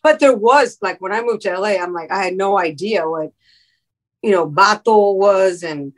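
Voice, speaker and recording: Actress from Miami, mid-pitched woman, earnest and explanatory in conversation. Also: normal speed. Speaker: guest actress. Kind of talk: conversational interview.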